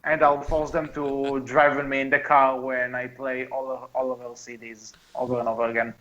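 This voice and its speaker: A man's mid-pitched voice in a dull, lo-fi recording. He is a podcast co-host discussing movies casually with friends. He speaks with firm opinions and keeps stopping to restart his phrases. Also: flat delivery, fairly bright tone. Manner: halting, often repeats and restarts phrases.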